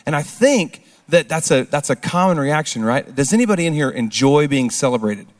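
A hoarse man giving a casual testimony talk at a recovery ministry service, throwing questions out to the crowd. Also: fairly high pitch, expressive, a little fast. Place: large church hall with an audience. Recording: long hall reverb.

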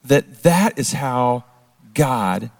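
A hoarse man speaks to a gathered church crowd in a relaxed, conversational way, often checking in with the audience. His voice sits at an ordinary middle pitch, and it rings out with the long reverb of a big hall. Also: very slow. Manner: casual, engages the crowd with questions. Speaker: speaker at a recovery ministry service. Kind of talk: conversational testimony talk.

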